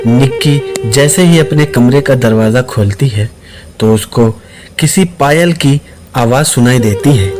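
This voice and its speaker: A man narrating a scripted suspense story as the storyteller. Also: medium pitch. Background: background music.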